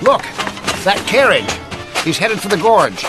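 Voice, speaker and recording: An actor playing an inspector's loyal sidekick in a radio mystery, plain-spoken and wry. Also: male voice, high-pitched, huge pitch swings, measured pace, loud music behind the voice, full character voice. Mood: alarmed, wry. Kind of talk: old-time radio mystery acting.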